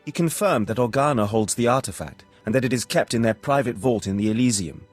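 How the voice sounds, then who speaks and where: A man playing a courteous, flattering Sith bodyguard in a video game, with a formal, smooth-talking manner. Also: medium pitch, a little fast, faint music behind, lightly acted. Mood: formal, confident. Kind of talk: scripted video game dialogue.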